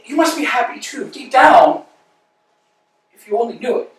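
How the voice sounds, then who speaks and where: A man's voice: very high-pitched, animated, normal speed. Vladimir, a man playing a fretful, insistent tramp. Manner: fussing, insistent, lecturing. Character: anxious, talkative male tramp. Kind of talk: staged play reading. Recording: echoey room, mic far off.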